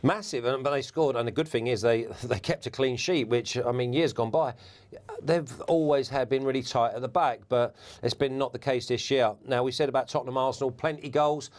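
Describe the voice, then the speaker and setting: A man, a former footballer, giving relaxed football punditry as a guest, laughing easily and restarting words. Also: mid-range voice, fairly quick. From England.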